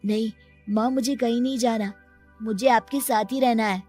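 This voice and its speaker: A woman plays a loving little orphan boy of seven in a cartoon moral tale. The voice is fairly high, meek and pleading, with faint music behind it. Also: steady tone, normal speed, a little mellow, lightly acted. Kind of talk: animated moral story dialogue.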